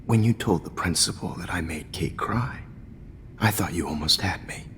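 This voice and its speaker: A man's voice: sits low, moderate pace, fairly bright tone, slight background noise. An actor playing a sinister, obsessive photography teacher in a video game, menacing and pompous, lingering on his words. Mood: gloating. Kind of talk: dramatic game cutscene acting. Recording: echoey hall, music underneath.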